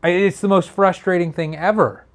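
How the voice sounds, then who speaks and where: A man co-hosting a casual chat show, with a slightly raspy voice. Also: high voice, fairly even intonation, moderate pace. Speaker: show co-host reading viewer messages.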